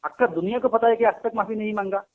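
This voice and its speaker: A man's voice: sits high, fairly even intonation, quick pace. A man, a native Gujarati speaker, in a heated phone argument, scolding and insistently repeating his challenges and questions. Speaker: devotee of a guru, arguing on a phone call. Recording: recorded phone call.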